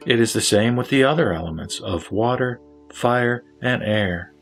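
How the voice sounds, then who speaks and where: A man's voice: medium pitch, moderate pace, soft background music, natural voice. A man playing a wise, ailing lay sage who teaches in a solemn, formal way in a scripture audio drama. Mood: solemn, instructive.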